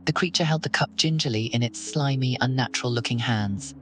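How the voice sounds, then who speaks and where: A man narrates a horror scene in the second person, steady and ominous. His voice sits at a middle pitch, with soft music underneath. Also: brisk, a little hiss or hum.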